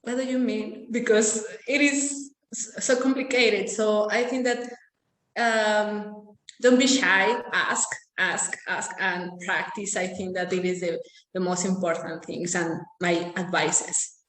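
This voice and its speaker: Slow earnest young woman, echoey room. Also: medium pitch, steady tone, fairly bright tone, a little hiss or hum. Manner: earnest, searching for words. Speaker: international student.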